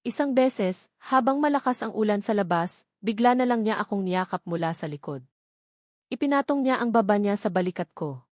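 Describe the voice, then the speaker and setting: Female storyteller, medium pitch, heartfelt even read; dry, close, no room sound. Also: normal speed, no echo. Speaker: storyteller narrator. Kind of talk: scripted first-person love story narration.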